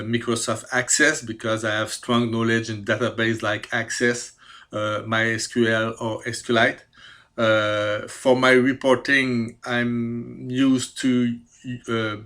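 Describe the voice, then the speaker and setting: A man in his fifties from Quebec, a native French speaker with a Quebec French accent, presenting his skills with careful, hesitant speech and many pauses. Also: mid-range voice, fairly bright tone. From Quebec, Canada. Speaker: CAD programmer. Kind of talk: self-presentation video.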